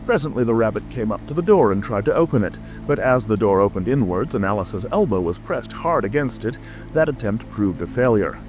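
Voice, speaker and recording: A man's voice: on the low side, lively intonation, brisk, darkish tone. A man narrating a scripted storybook as an audiobook reader. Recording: music and rain ambience behind. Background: music, rain.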